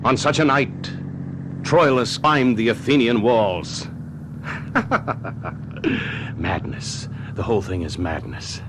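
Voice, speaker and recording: An actor playing a greedy, unhinged, scheming husband who has turned murderer, muttering melodramatically in a radio drama monologue. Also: male voice, mid-range voice, lively intonation, slow pace, long pauses, background noise. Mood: bitter, cold. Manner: melodramatic, broken muttering, quotes verse. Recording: radio drama with music behind.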